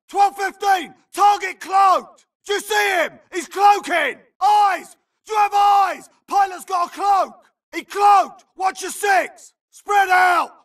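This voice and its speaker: Male game soldier, urgent and tense, yelling clipped battle callouts, very high-pitched, off the mic. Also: far from the mic. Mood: alert, urgent. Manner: clipped military radio callouts. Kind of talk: video game combat barks.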